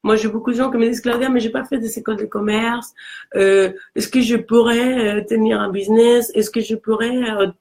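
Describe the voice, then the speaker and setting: A woman from Mexico with a Mexican Spanish accent and a low voice, talking earnestly in a casual conversation. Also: fairly even intonation, fairly quick, darkish tone. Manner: earnest, encouraging, with frequent restarts.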